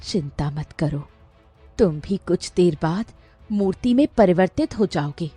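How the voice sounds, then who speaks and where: A woman voicing a cunning, vengeful, ageless sorceress princess in an animated fairy tale. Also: lowish voice, measured pace, husky, dry recording, soft background music. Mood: menacing.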